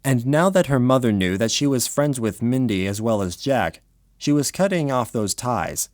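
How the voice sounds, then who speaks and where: A man's voice: medium pitch, animated, moderate pace, darkish tone, no room sound, clean studio sound. A man reading scripted story narration for an audio drama.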